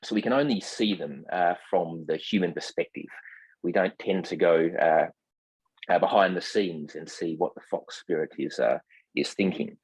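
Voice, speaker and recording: A man speaking as a guest translator in a scholarly discussion, formal in wording, with hesitant, measured phrasing full of ums. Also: sits low, a little mellow, slightly rough, no room sound, rough recording. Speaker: literary translator, podcast guest.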